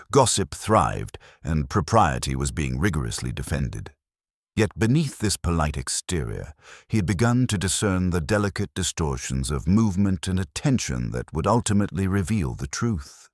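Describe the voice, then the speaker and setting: Very deep-voiced male mystery narrator. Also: no echo, clean studio sound. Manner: measured, formal storytelling. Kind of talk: scripted audiobook-style mystery narration.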